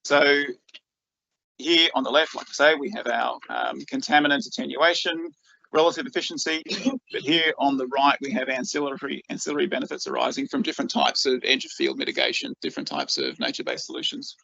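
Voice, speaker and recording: A man's voice: medium pitch, lively intonation, brisk, vocal fry, pushed and bright, no room sound, lo-fi sound. A man with an Australian accent giving a conference talk as a researcher, enthusiastic and full of ums and repeated words. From Australia, rural northeast Victoria.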